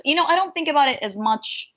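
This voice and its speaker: A woman's voice: high voice, a little fast. Bubbly woman from Colombia, lo-fi call. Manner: animated, bubbly, lots of fillers. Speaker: guest voice actor. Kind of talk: casual interview chat. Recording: dull lo-fi call audio.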